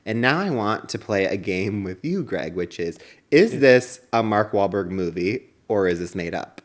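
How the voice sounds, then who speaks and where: A man co-hosting a comedy podcast in casual, joking chat, quick to giggle. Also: fairly low pitch, animated.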